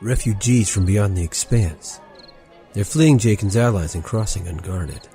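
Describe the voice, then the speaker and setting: A man playing a gruff warrior companion with a dry, terse way of speaking. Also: lowish voice, unhurried, dark tone, faint music behind, lightly acted.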